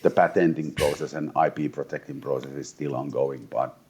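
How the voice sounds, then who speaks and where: A man's voice: a bass voice, steady tone, fairly quick, a little mellow, a little hiss or hum. A Finnish man in his forties from eastern Finland, a tired interview guest who talks bluntly, swears, and restarts his sentences often. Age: 40s. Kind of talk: casual interview talk.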